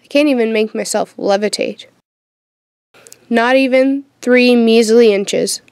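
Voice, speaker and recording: A young woman playing a sardonic, troubled teenage witch in an audio drama, with a wry, deadpan manner. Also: on the high side, measured pace, clear, resonant tone, no echo, studio quality. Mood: wry, frustrated. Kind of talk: audio drama acting with first-person narration.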